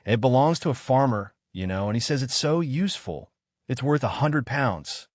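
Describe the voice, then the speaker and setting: A man's voice: medium pitch, expressive, moderate pace, no room sound, studio quality, voice pushed up, natural voice. A man voicing a polite, eager little girl in a storybook, chatty, earnest and apologetic in manner.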